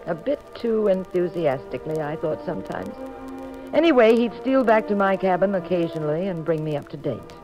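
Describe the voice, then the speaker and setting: An actress playing a witty, affectionate detective's wife in a mid-century radio mystery, speaking with wry poise. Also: female voice, fairly low pitch, expressive, brisk, dark tone, lo-fi sound. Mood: wry narration. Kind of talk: mid-century radio drama acting and narration. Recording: old radio recording with music.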